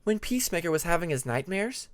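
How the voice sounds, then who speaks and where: A man voicing a patient, earnest young female dragon who explains her magic in a measured way in an audiobook. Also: fairly high pitch, big pitch swings, voice pushed up. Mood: explaining.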